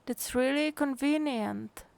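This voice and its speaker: A woman's voice: high-pitched, slow, creaky, a little mellow. A woman game streamer chatting casually and reading game dialogue aloud in a musing, hesitant way.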